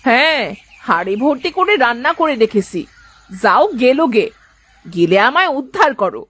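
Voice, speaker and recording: A woman voicing a sharp-tongued, scornful village wife in a moral-story cartoon. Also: high-pitched, very animated, moderate pace, no room sound, heavily acted. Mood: scornful, scolding. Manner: sarcastic, scolding.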